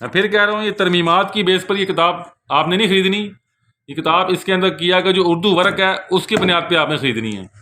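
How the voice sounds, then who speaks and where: Bright, higher-voiced Pakistani man presenting books. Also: fairly quick, slight background noise. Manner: explanatory, repetitive, conversational. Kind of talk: informal product overview with read-out passages. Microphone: handheld camera held in one hand.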